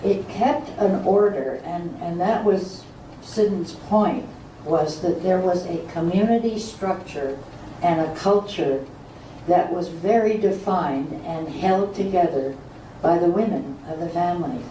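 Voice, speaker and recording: An older woman speaks slowly and fondly about how things used to be. Her voice is low and mellow. She sounds distant, in a roomy hall with faint noise. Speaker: speaker sharing memories. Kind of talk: oral history reminiscence.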